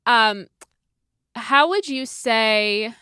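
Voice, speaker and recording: A woman's voice: on the high side, lively intonation, drawn-out words, sharp tone, clean tone, dry recording, studio quality. A woman hosting a podcast, talking supportively and playfully in a casual conversation. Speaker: podcast host.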